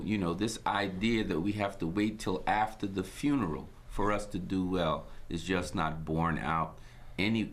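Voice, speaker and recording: A man from Guyana hosting his own broadcast, giving a measured, preacherly inspirational talk with scripture quotes. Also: low-pitched. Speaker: host.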